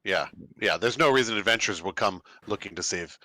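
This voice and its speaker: A man playing a tabletop game, bantering casually in a wry, animated way. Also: lowish voice, brisk.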